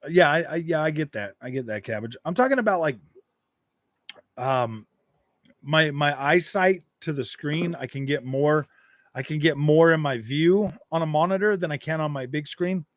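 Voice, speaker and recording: A man in a casual gaming live stream, talking in a fairly high voice and wandering through his thoughts with lots of restarts. The sound is dry and close, with no room around it. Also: moderate pace, no echo. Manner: rambling, repeats and restarts phrases, laid-back.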